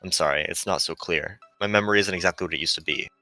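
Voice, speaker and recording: A man voicing a gentle, melancholy female shuttle AI, earnestly explaining as it fades in a visual novel. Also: sits low, fast talker, no echo, soft background music, heavily acted. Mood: regretful.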